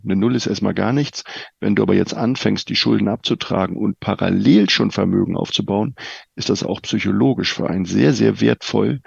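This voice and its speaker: Deep, very gravelly middle-aged man. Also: no room sound, muffled recording. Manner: direct, informal, addresses listener as you. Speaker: guest financial coach. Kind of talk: explanatory interview advice with everyday examples.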